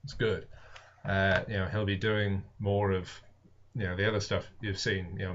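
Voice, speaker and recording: Hesitant man, dull lo-fi recording. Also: fairly low pitch. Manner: hesitant, apologetic, self-deprecating dry humour. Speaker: host and audiobook reader. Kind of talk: casual rambling intro, then narrated reading of a classic novel. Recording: dull lo-fi camera audio.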